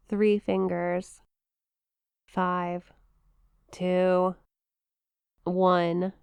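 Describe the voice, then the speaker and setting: A woman's voice: lowish voice, darkish tone, clean tone, dry recording. A woman playing a shy, playful young eldritch monster classmate in an intimate ASMR roleplay, teasing and hesitant, with a flustered stammer. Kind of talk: ASMR roleplay, intimate one-sided conversation.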